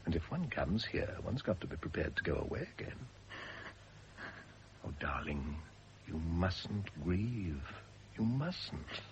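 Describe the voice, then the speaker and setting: Radio play, male gentle doomed husband, reflective and literary, deep register, slightly noisy old recording. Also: big pitch swings, slow pace, pauses often, exaggerated voice. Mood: earnest, consoling. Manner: literary, reflective, tender, with whispered drops. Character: condemned husband, gentle, reflective, calmly facing death. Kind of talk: classic radio drama acting.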